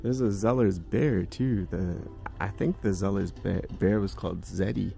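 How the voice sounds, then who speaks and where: A man's voice: low voice, moderate pace, gravelly, warm tone, soft background music. A man, chatting casually as he walks a department store for a phone-filmed tour. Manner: musing, chatty remarks to himself. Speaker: vlogger giving a store walking tour. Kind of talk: casual walking-tour commentary. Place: large indoor department store. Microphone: handheld phone camera while walking.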